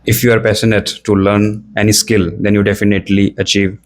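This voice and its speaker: Young Indian male learner, Indian English accent, halting, filler-heavy delivery, lower register. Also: little pitch movement. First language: Hindi or another Indian language. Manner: hesitant, searching for words, many fillers. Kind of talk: casual practice conversation.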